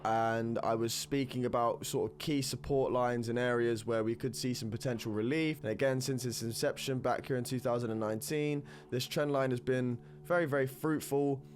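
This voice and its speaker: Brief: guy, bunged up with a cold, chatty chart analysis, mid-pitched voice. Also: fairly even intonation, moderate pace, few pauses, slight background noise. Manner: rambling, repeats words, upbeat. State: congested with a cold. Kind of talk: screen-recorded technical analysis talk.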